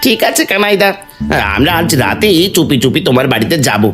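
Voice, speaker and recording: A man's voice: fairly high pitch, very animated, brisk, a bit bright, faint music behind. A man voicing a wily, cowardly and lazy village husband, a reformed thief, in an exaggerated comic cartoon style. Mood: amazed. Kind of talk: Bengali fairy-tale cartoon voice acting.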